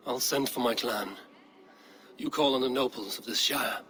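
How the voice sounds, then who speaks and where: An actor playing a steady, commanding male Viking clan leader in a game cutscene. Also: medium pitch, normal speed, lightly acted. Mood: resolute. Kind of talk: video game cutscene acting.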